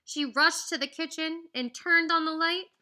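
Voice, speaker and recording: A woman reading a children's picture book aloud in an animated, singsong storyteller's way, with teacherly asides. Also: extremely high pitch, normal speed.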